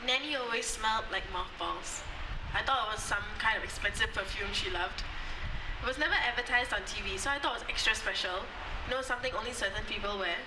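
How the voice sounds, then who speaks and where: A woman's voice: on the high side, fast. A young woman from Singapore reciting spoken word poetry with a dry, wry delivery. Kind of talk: poetry performance. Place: outdoor courtyard. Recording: echoey, distant, noisy outdoor recording.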